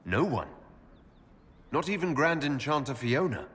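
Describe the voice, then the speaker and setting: A man's voice: mid-range voice, big pitch swings, a little slow, a bit bright, slightly rough, exaggerated voice. A man playing a frank young elven hero in a video game, speaking in a direct, questioning way. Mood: decisive, concerned.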